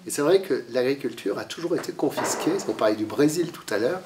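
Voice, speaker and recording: Animated French-speaking man with a cold. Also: mid-range voice, a little fast, recorded from a distance, background noise. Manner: animated lecturing with many fillers and restarts. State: has a cold, coughing. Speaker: author giving a talk. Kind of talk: public talk to a library audience. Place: library meeting room.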